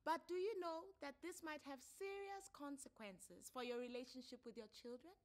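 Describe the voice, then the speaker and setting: Woman acting a high-voiced, officious female court counselor. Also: lightly acted. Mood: firm, explanatory. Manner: deliberate, questioning, drawn-out phrasing. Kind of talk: dramatised short story reading.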